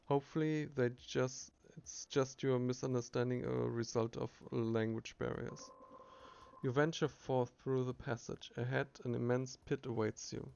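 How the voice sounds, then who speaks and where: A man's voice: medium pitch, fairly even intonation, normal speed, creaky, a little mellow, slight background noise. A man reading a game's story text aloud as he plays, with frequent fillers and restarts. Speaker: game streamer. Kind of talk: reading game text aloud while playing. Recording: dull lo-fi recording.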